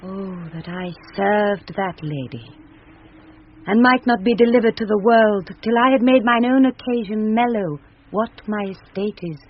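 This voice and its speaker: A woman's voice: mid-range voice, unhurried, close to an everyday voice. Woman playing an earnest British noblewoman, muffled vintage radio. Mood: curious, hopeful. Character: shipwrecked young noblewoman, earnest, grieving, resolute. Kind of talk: 1970s BBC radio Shakespeare acting. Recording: dull, noisy vintage radio recording.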